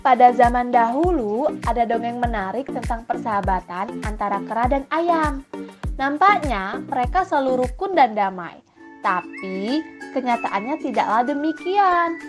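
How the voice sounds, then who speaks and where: A woman narrating a scripted children's fable as an expressive storyteller. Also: a little high, moderate pace. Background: children's background music.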